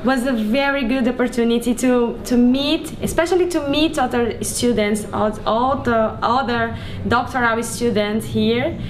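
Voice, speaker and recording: A young woman from Brazil speaks to camera at a measured pace, now and then searching for words. Her voice sits a little high, and a faint hum of the room runs behind her. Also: rough recording. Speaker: PhD student. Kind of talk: interview to camera. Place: indoor hall.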